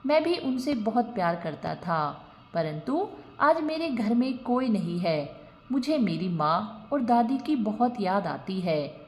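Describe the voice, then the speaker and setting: A woman voicing a kind, lonely young man in a storybook tale, earnest and gently pleading. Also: a little high, big pitch swings, unhurried. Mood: tender, reassuring. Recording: long reverb on the voice.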